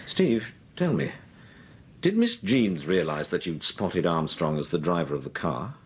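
An actor playing a calm, shrewd male detective in a radio drama, with a clipped upper-class delivery. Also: deep voice, measured pace, creaky, heavily acted. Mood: composed. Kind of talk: 1940s radio drama acting.

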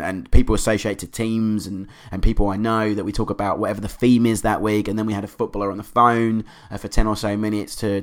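A man hosting a podcast, hoarse from a cold, chatting in an enthusiastic, rambling way. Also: on the low side. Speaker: podcast host. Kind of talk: casual podcast monologue.

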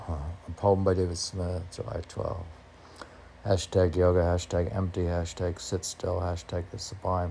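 A man's voice: deep voice, vocal fry, mellow, slight background noise. A man musing aloud in a rambling poetry reading, talking to himself as he presents his own poems. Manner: musing, talks to himself in questions. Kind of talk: rambling poetry reading with self-questioning commentary.

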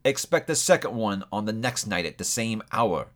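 A man's voice: fairly low pitch, lively intonation, fairly bright tone. A man voicing a mournful old ghost in chains. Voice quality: slow, heavy, mournful delivery. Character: doomed old male ghost, solemn and grieving.

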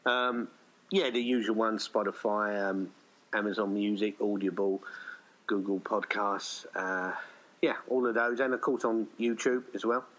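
A man's voice: lowish voice, steady tone, a little slow, fairly bright tone, poor audio. A middle-aged man chatting casually as an interviewed writer-director, rambling and anecdotal. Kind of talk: casual chat.

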